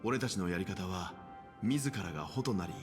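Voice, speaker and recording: A man voicing a steady, resolute young male leader in a game, speaking firmly and declaratively. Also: low-pitched, quick pace. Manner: firm and declarative. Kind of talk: Japanese game voice acting. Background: game music.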